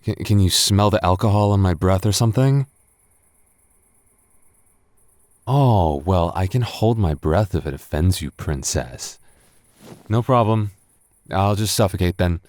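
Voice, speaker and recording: A man's voice: low voice, lively intonation, moderate pace, a little mellow, dry recording. A man acting a tipsy young roommate who is teasing, flustered and secretly smitten, stammering and rambling in a boyfriend roleplay audio. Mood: tipsy, sheepish.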